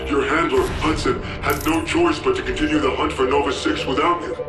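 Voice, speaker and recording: An actor playing a stern, demanding male interrogator in a video game, speaking with forceful, insistent command. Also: on the high side, fairly even intonation, brisk, reverberant, far from the mic, over music, natural voice. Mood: cold, pressing. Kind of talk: dramatic video game acting.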